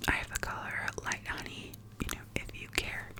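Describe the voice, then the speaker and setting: A young woman whispering in her apartment, chatting casually as she tries out makeup, with lots of "like" and the odd swear word. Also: brisk. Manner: whispers the whole time. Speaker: ASMR vlogger. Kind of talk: whispered ASMR makeup chat.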